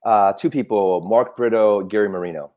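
A man whose first language is Cantonese, a guest on a talk show, speaking reflectively with frequent pauses and restarts. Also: lowish voice, dull audio. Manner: reflective, hesitant, with many "um" and restarts. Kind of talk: casual interview chat.

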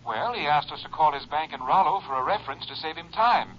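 An actor playing a polite, apologetic and defensive bank president in a 1950s radio drama. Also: male voice, on the high side, lively intonation, fast talker, lightly acted. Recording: dull old radio recording.

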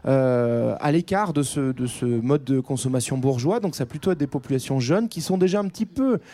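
A man giving a measured, analytical explanation on a podcast, hesitating now and then. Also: medium pitch, expressive, warm tone. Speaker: podcast co-host. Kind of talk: casual history discussion.

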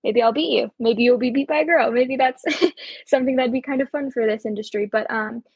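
A woman's voice: fairly high pitch, fairly quick, breathy edge, no room sound. A seventeen-year-old woman from Colorado, a young auctioneer chatting upbeat in an interview, with frequent ums and small laughs. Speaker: auctioneer guest. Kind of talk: casual interview chat.

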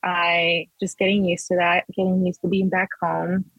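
A woman in her thirties gives a relaxed interview and rambles through long answers, stopping and restarting often. Her voice is low for a woman and slightly husky. The sound is dry and close. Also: moderate pace, no room sound, poor audio. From Texas, raised in Las Vegas. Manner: rambling, with many restarts and fillers. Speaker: guest artist. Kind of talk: casual interview conversation.

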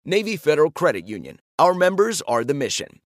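A man's voice: on the high side, very animated, brisk, dry recording, studio quality. A man giving a scripted sponsor read as an announcer.

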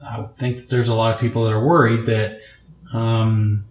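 A man's voice: fairly low pitch, flat delivery, normal speed. A man with a northern Louisiana accent, a guest in a casual interview, talking in a joking way and often repeating himself. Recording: roomy echo.

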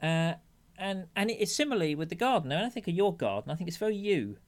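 A man's voice: high-pitched, big pitch swings, quick pace. A man co-hosting a podcast in casual chat, thoughtful and hesitant as he searches for the right words, with a dry self-mocking humor. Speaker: podcast co-host.

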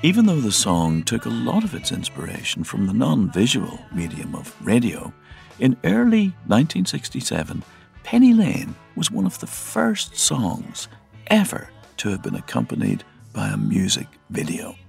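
Male documentary narrator, deeply gravelly and rough-edged, animated delivery of written script over soft music. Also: normal speed.

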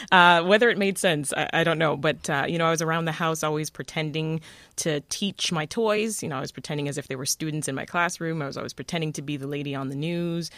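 A woman from Jamaica, raised in Canada, telling personal stories in a casual, expressive, emphatic way as an interview guest. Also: low voice, a little fast, no echo. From born in Jamaica, raised in Toronto, Canada. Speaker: radio host being interviewed. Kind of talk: casual conversational storytelling.

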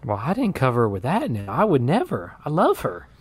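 A performer plays a jittery young woman murder suspect in a comedy mockumentary, stumbling over her words and drawling slang as she dodges. Her pitch swings widely in a dry, close-sounding room. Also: huge pitch swings, a little fast, breathy edge, dry recording. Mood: defensive. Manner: stammering, defensive, drawling slang. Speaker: interviewee.

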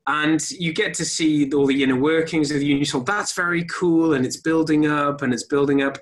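Man, casual film chat, podcast co-host, animated, bursts into voices. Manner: animated, acts out lines in high voices.

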